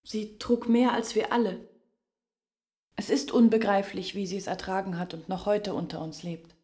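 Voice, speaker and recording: An actress playing a sombre woman who echoes an outcast family's memories in a radio drama, with measured delivery. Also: medium pitch, fairly even intonation, dark tone, lightly acted. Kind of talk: literary radio drama narration.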